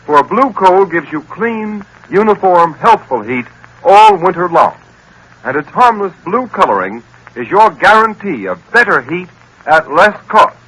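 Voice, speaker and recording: Male American announcer, old-time radio drama style, higher register, sweeping intonation, emphatic, music behind. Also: big pitch swings, measured pace, fairly bright tone, husky, poor audio. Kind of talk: 1940s radio announcing, scripted narration.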